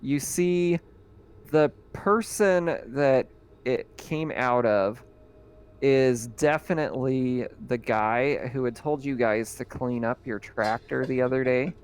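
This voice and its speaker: A man's voice: medium pitch, slow, clear, resonant tone. Man running a tabletop horror game. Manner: describes scenes with fillers, reads out rules. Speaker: game master.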